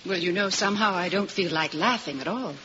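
A woman's voice: lowish voice, brisk, pushed and bright. An actress playing a plucky, motherly married woman in an old-time radio drama. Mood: reassuring. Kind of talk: 1950s radio drama acting. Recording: hissy, muffled old radio recording.

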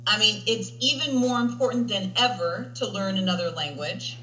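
A woman's voice: medium pitch, moderate pace, sharp tone, roomy sound, slight background noise, rough recording. A woman language teacher talking casually in an interview and often checking in with "right?". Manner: chatty, tags statements with "right?". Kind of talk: interview conversation.

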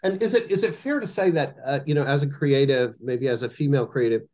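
A woman radio host interviewing a musician, encouraging and a bit halting, with restarts. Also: rumbling low, darkish tone. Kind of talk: casual interview.

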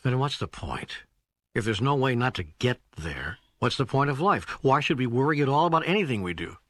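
A man's voice: medium pitch, very animated, brisk, fairly bright tone, no room sound, clean studio sound. A man narrating scripted audiobook dialogue in a questioning, conversational manner.

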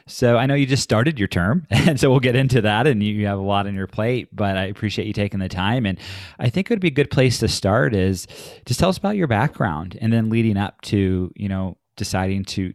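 A man hosting an interview podcast, chatting in a relaxed, friendly way with loose fillers. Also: on the low side, fairly quick, no room sound, clean studio sound. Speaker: podcast host.

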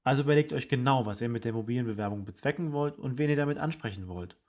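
A man hosting a weekly HR news podcast, reading a scripted report in a brisk, informative way and addressing listeners directly. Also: mid-range voice, darkish tone, lo-fi sound. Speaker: podcast host presenting HR study results.

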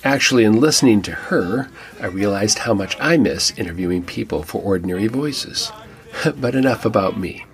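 A man's voice: lowish voice, lively intonation, moderate pace. A man hosting a church podcast, telling a guest's story in scripted narration with a reflective, lightly joking manner. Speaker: podcast host and church pastor.

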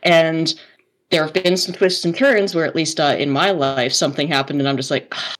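Lower-voiced woman chatting on a podcast. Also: fairly even intonation, moderate pace. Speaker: podcast co-host. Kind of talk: casual podcast chat.

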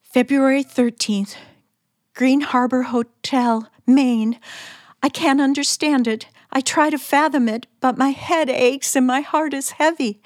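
An actress playing a frightened, pleading wife in 1940s radio suspense style, hesitant, breathless and theatrical. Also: female voice, sits high, steady tone, unhurried, dry recording. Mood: despairing narration. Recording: old radio drama, faint music behind.

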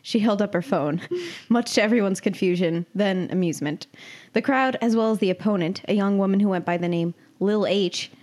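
Giggly young woman, medium-pitched voice. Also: brisk, few pauses, darkish tone. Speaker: podcast host and amateur writer. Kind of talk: reading own comic story, casual chat.

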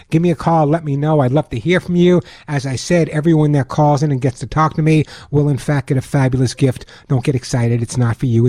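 A man's voice: fairly high pitch, dark tone, no room sound, clean studio sound. An older man from Long Island hosting a call-in pet radio show, chatty and joking, repeating himself as he talks to listeners. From Long Island, New York. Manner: chatty, repeats phone number slowly, laughs at own jokes.

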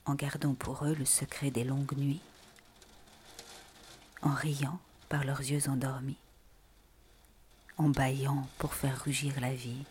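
A woman reading literary texts in a breathy, whispery voice with deliberate, measured phrasing. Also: a bass voice, level tone, darkish tone, slight background noise.

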